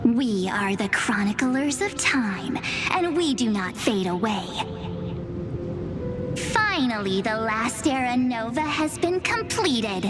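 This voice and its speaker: A woman's voice: a little high, sing-song, slow pace. An actress playing a young woman who speaks theatrically, like a divine chronicler, in a video game. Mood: grandly ominous. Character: young woman playfully posing as a solemn, ominous divine chronicler. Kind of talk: English video game voice acting. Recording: music behind the voice.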